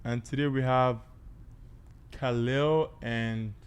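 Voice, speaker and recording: A man's voice: mid-range voice, steady tone, slow pace, clear, resonant tone. A male podcast host who rambles with lots of fillers while introducing his guests. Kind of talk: casual podcast hosting.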